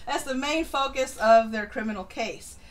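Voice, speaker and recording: A woman's voice: high voice, normal speed, crowd noise. A woman hosting a political livestream, reading through news with casual, opinionated commentary. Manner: informal, opinionated, swears casually. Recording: noticeable background noise.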